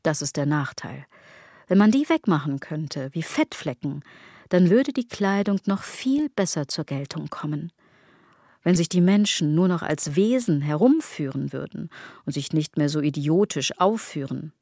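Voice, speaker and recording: A woman's voice: sits low, lively intonation, normal speed, warm tone, dry recording, clean studio sound. An actress playing a self-critical woman who muses ironically in a literary radio drama monologue. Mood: ironic musing. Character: self-critical woman brooding obsessively over clothes, body and gods.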